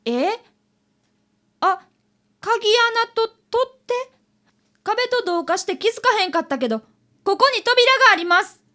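A woman playing an excitable, timid boy of about eleven, a detective's apprentice. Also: extremely high pitch, measured pace, pauses often, heavily acted. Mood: startled. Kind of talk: radio drama acting and narration.

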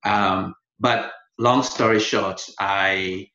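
Low-voiced man from Ghana, echoey. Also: extremely slow. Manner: relaxed, laughs while telling stories. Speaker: guest, in-house lawyer. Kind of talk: interview storytelling. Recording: echoey, dull lo-fi sound.

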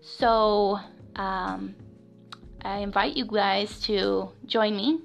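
A woman in her twenties, originally from Florida, hosting a casual personal podcast alone. She has a girlish voice and talks in a nervous, halting way, filling pauses with ums and small laughs. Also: fairly even intonation, gravelly, soft background music. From West Florida, United States. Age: 20s. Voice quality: high, girlish voice. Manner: nervous and halting, with many fillers and soft laughs. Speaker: podcast host.

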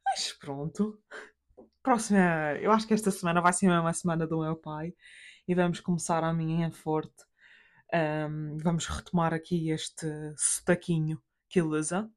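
Animated, lowish-voiced Portuguese woman telling stories. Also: normal speed. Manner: animated, sweary, slangy, acts out quoted relatives. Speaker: podcast host telling family anecdotes. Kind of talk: casual solo storytelling.

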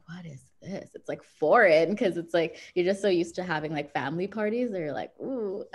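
Woman, 30s, from Zambia, fairly low voice, rambling casual chat. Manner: relaxed, rambling, many "like" fillers.

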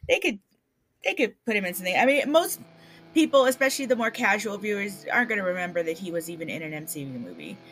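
A woman's voice: medium pitch, sing-song, quick pace, a bit bright, faint music behind. A woman chatting casually about a TV show, laughing easily and trailing off with fillers. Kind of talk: casual chat about TV and film.

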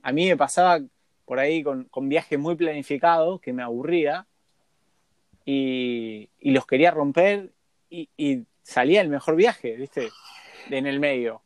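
Dry, higher-voiced man. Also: measured pace, no room sound. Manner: agreeable, tells anecdotes. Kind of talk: casual conversation.

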